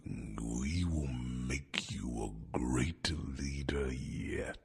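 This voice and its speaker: A man doing an ancient gravelly dragon mentor. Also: soft background music, full character voice. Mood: encouraging. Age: older. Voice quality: deep gravelly voice with breathy whispers. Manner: slow, chuckling, gently teasing. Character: ancient wise male dragon mentor, fond and teasing. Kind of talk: ASMR fantasy roleplay.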